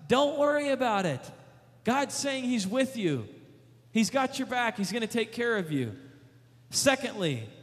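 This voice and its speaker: A man preaching a sermon, emphatic, repeating rhetorical questions and paraphrasing scripture in lively retellings. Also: very high voice, big-room sound. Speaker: youth preacher.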